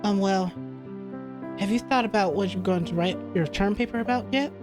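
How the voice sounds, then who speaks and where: Friendly young woman student read by a game player, gently nagging tutor type, clear music bed. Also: medium pitch, normal speed, voice pushed up, lightly acted. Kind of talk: reading visual novel dialogue aloud.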